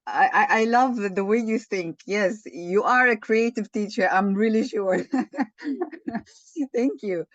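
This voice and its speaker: A woman leading an online teaching session, slow and encouraging, often thanking participants. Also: medium pitch, lively intonation, no echo. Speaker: webinar lecturer. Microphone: video call. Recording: dull online call audio.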